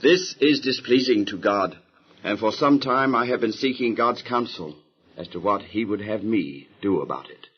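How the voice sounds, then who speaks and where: A man's voice: lowish voice, expressive, normal speed, breathy edge. An actor playing an earnest, devout biblical man in an audio drama, speaking formally and with feeling. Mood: grave, devout. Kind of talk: audio Bible drama acting. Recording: dull lo-fi recording.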